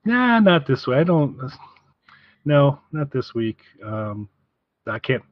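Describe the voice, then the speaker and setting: A man co-hosting a casual review podcast, talking haltingly as he repeats and rephrases his way through each point. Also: mid-range voice, big pitch swings, dry recording. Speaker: podcast co-host. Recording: dry, slightly dull podcast audio.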